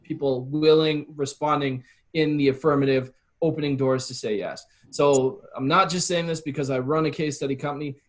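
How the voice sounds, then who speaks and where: A man's voice: a little high, steady tone, moderate pace, few pauses. A man giving advice as an interview guest, explaining his approach casually and conversationally. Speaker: interview guest, copywriting expert.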